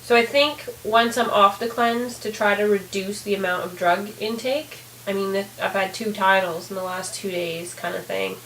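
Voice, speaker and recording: A woman with a hoarse, raspy voice giving a candid, rambling video diary update, full of fillers. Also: medium pitch, fairly even intonation, moderate pace, few pauses, fairly bright tone, echoey room, a little hiss or hum, low-quality recording. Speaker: vlogger.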